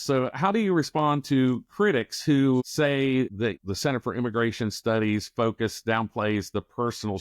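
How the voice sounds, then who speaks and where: A man's voice: fairly low pitch, no echo, studio quality. A man co-hosting a podcast, asking a guest questions in a friendly, conversational way. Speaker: podcast co-host. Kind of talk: interviewing a guest.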